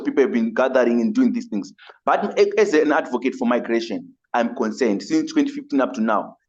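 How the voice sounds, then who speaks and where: Zimbabwean man, migrant advocate on a webinar, earnest and halting, mid-range voice, muffled call audio. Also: medium pitch, a little mellow. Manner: earnest, halting, repeats and rephrases as he goes. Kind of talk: webinar audience comment.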